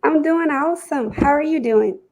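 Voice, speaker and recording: Female auditionee, higher pitched, very creaky, casual and polite. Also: moderate pace, a little mellow. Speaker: auditioning voice performer.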